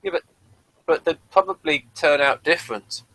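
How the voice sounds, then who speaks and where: A man's voice: fairly high pitch, very animated, moderate pace, creaky, bright, forceful tone, low-quality recording. A man co-hosting a casual review, talking and reading aloud, sometimes stumbling and correcting himself. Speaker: review show co-host. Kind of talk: casual review chat, reading out an episode synopsis.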